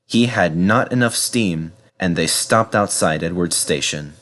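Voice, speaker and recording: A man narrating a children's storybook in a measured, dramatised storytelling manner. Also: low-pitched, fairly bright tone. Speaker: storybook reader.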